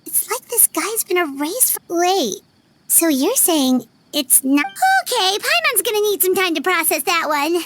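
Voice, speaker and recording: A woman voicing a tiny, kind, excitable fairy companion in a video game, with a squeaky childlike voice and expressive delivery. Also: a little slow, exaggerated voice. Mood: puzzled, curious. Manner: speaks of herself in the third person, expressive. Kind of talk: video game character dialogue. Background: game music.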